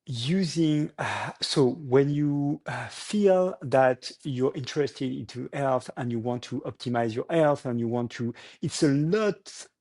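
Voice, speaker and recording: A man, speaking in a middle-pitched voice. He talks as a guest in a relaxed interview, pausing often and searching for his words in English. He chuckles now and then as he explains his company. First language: French. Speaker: startup founder guest. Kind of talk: casual interview conversation.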